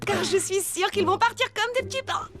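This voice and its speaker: A woman's voice: very high voice, a little fast. A woman voicing an enthusiastic, bookish young shopkeeper girl, earnest and chatty, in a French-dubbed children's cartoon. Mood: busy, welcoming. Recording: loud music behind voice.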